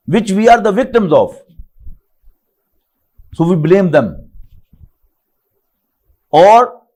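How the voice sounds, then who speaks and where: A man's voice: high voice, a little mellow. An older man from Pakistan, a native Urdu speaker with a Pakistani English accent, teaching an online Quran lesson, reciting Arabic verses and then explaining them phrase by phrase in English.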